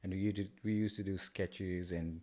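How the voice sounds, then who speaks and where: A man, a guest in a casual interview, talking in a hesitant, halting way with many pauses. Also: sits low, fairly even intonation, mellow. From Nepal. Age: 30s. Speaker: guest, artist and kids entertainer.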